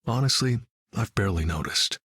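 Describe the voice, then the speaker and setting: An actor playing a grim, battle-hardened vigilante leader, dry and deadpan, in video game dialogue. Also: male voice, on the low side, a little fast, slightly rough, no echo, full character voice. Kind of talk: video game character voice lines.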